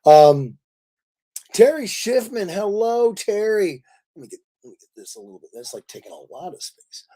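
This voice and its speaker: A man hosts a casual livestream in a somewhat higher-pitched voice that is raspy and worn from too much talking. He rambles along, effusive and jokey, addressing his viewers like old friends. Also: very animated, moderate pace, dark tone. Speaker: livestream host. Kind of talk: casual chat with live viewers.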